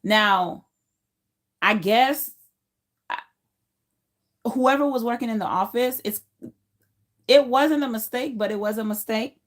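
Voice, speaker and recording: A woman's voice: a little high, slow pace, long pauses. A woman around thirty from Haiti, a non-native English speaker who learned it as a teenager, tells personal stories to a live stream in an informal, emphatic way, repeating phrases. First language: not English, learned it as a teenager. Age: late 20s to early 30s. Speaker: livestream host.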